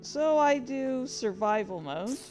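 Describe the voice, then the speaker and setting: Slow-talking woman with a higher voice. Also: animated, darkish tone, soft background music. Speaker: host of a reading and gaming channel. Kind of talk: casual storytelling about gaming.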